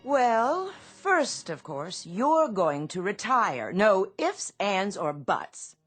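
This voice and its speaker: An actress playing a gentle, devoted older wife in a radio drama, with a tender, motherly manner. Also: mid-range voice, very animated, slow, soft background music. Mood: tender, proud.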